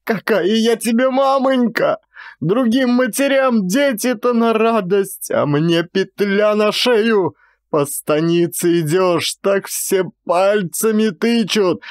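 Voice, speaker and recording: A man's voice: sits high, dry recording, clean studio sound, voice pushed up. A man voicing a furious old Cossack woman with a rattling, cracked voice, scolding her son in a radio-play style story. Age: old woman figure. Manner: scolding, wailing, drawn-out.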